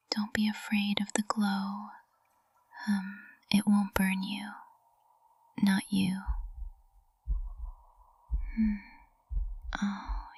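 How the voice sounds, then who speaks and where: A woman's voice: mid-range voice, breathy edge, no echo, exaggerated voice. A woman playing a lovestruck witch professor in an intimate ASMR roleplay, whispering tenderly and breathily, close to the microphone. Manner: slow, tender, intimate delivery. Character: lovestruck high witch professor, usually cold and composed, tender.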